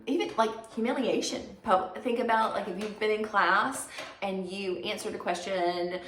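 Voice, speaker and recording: A woman teaching a psychology lecture in a chatty, everyday way, slightly hoarse and raspy from congestion. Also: medium pitch, a bit bright. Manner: conversational, many fillers, everyday examples. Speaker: psychology instructor. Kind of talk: recorded lecture. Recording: echoey room, faint noise.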